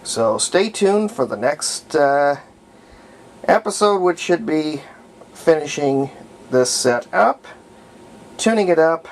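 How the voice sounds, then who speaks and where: A man giving a casual hands-on tutorial in a folksy, unhurried way, thinking aloud as he works, with a gravelly voice. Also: a little high, lively intonation. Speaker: radio repair hobbyist demonstrating. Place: indoors at home, hands-on at workbench. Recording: faint background noise, home workshop.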